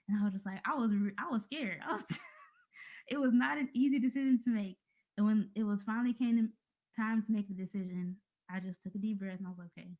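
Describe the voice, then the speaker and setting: A woman's voice: medium pitch, mellow. A woman with an American accent giving an informal, motivational religious talk, speaking conversationally and straight to her listeners. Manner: conversational, addressing listeners directly.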